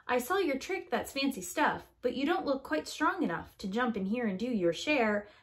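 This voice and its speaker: Woman as a condescending big truck, animated delivery. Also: on the high side, normal speed, close to an everyday voice. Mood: doubtful, dismissive. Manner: patronising, explaining. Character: big, doubtful, condescending grown-up construction truck.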